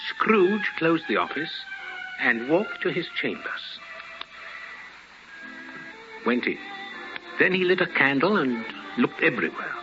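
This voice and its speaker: A man tells a story in theatrical 1950s radio style, savoring the words. His voice is slightly high and animated, with music behind a muffled broadcast. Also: slow pace, long pauses. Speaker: narrator.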